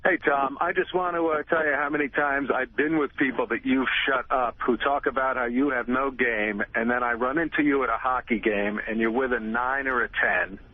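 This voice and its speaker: Male caller, medium pitch, emphatic and admiring, thin telephone audio with little room sound. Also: fairly even intonation, moderate pace, hardly any pauses, a little hiss or hum. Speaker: phone-in caller. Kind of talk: casual radio call-in anecdote. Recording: tinny phone line on radio.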